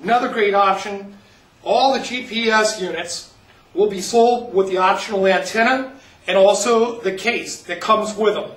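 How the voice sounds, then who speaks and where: A man pitching items for an upcoming auction in a folksy sales voice, often calling the listeners folks. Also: normal speed, a bit bright, distant mic. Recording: roomy, from off the mic.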